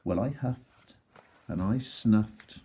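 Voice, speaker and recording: A man voicing a self-justifying, folksy wolf in an expressive children's storybook read-aloud, sounding aggrieved and mock-innocent. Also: on the low side, slow pace, slight background noise, voice pushed down. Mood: mock-innocent storytelling.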